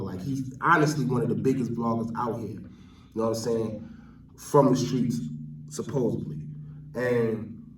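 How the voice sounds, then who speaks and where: A man with a New York accent giving casual, slangy street-talk commentary to his viewers, often tagging on filler catchphrases. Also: mid-range voice. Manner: slangy, repeats "you know what I'm saying". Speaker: vlogger host. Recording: roomy, distant mic, noisy background.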